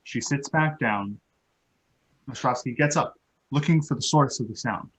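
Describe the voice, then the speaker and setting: A man reads stage directions as scripted narration. His voice is mid-pitched and noticeably husky, with a breathy rasp. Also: normal speed, low-quality recording.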